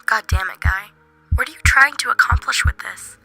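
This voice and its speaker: A woman's voice: mid-range voice, flat delivery, brisk, husky, natural voice. A woman playing an urgent, scolding inner voice that cautions a troubled man with insistent warnings in a student drama. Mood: angry scolding. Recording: voice over loud music bed.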